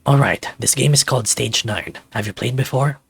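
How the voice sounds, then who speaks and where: A man's voice: mid-range voice, moderate pace, vocal fry, fairly bright tone, breathy edge, faint music behind, heavily acted. A man playing a young adult adopted son, a gamer who needles with sarcastic banter in a visual novel. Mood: explaining, casual.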